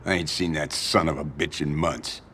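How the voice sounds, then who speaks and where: A very low, creaky voice as a crooked union boss in a game cutscene. He bargains slyly and guardedly, with a streetwise edge and faint noise behind him. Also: fairly even intonation, normal speed, fairly bright tone. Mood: wary, sardonic. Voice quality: gravelly. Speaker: video game character actor. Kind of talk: cinematic crime drama acting.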